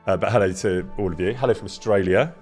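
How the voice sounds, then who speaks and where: A man casually chatting with his live-stream viewers, greeting them by name and laughing often, with a loud voice that carries. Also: on the low side, quick pace, faint music behind. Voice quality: loud, carrying voice. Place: indoor room near an event space.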